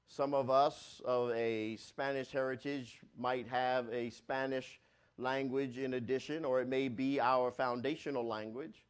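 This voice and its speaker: A man pastor preaches at an even middle pitch. His delivery surges loud and high on key points, then sinks into quiet, low asides. He restarts and repeats phrases as he goes. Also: unhurried, clear, resonant tone. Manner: swells louder on key points and drops low and soft on asides. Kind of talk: sermon.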